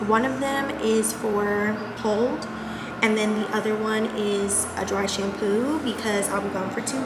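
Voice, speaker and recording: A young woman vlogging to her viewers in a casual, chatty, upbeat way, with a soft, girlish voice. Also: medium pitch. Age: young adult. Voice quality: says she has a baby voice. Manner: chatty and upbeat, many fillers like "like". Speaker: lifestyle vlogger. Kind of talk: casual vlog chat to camera. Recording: echoey room with loud background noise.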